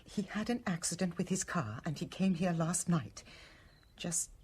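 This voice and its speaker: An actress playing a guarded, anxious wife with secrets in a radio drama, tense and halting. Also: female voice, fairly low pitch, lively intonation, darkish tone, a little hiss or hum. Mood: urgent, fearful. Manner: tense, halting, breathy urgency.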